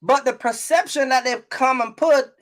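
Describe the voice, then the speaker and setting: A man's voice: very high-pitched, moderate pace, fairly bright tone. A middle-aged man talking casually to his viewers in a vlog, emphatic and repetitive, often checking that listeners follow. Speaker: trucking business owner and content creator.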